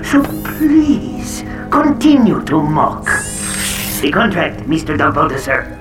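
A woman's voice: low-pitched, sing-song, takes it slowly, over music, full character voice. Creepy obsequious henchman, really swoopy delivery, a bit far off, audio drama. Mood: snide. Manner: obsequious, precise, sinister politeness. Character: servile, snide male henchman and assistant.

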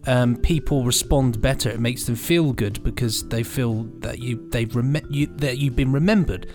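A man's voice: mid-range voice, normal speed, music in the background. A man with a British accent chatting casually as a podcast co-host, bantering and playfully hesitant. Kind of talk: casual chat.

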